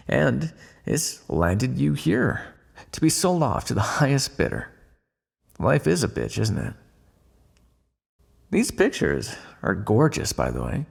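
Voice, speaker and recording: A man's voice: mid-range voice, creaky, darkish tone, exaggerated voice. A man playing a smug, teasing vampire in an ASMR roleplay, sardonic and predatory with a hidden fondness, drawling on key words. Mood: smug, taunting. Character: smug, teasing male vampire, predatory yet secretly fond. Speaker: roleplay voice actor.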